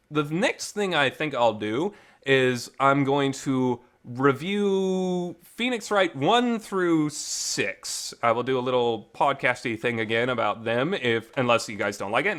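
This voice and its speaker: A man's voice: medium pitch, fairly bright tone. A man hosting a casual, unscripted podcast-style review, speaking colloquially and emphatically with some mild swearing, in a reverberant makeshift home recording. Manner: colloquial, mildly profane, emphatic. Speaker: host of a visual novel review channel.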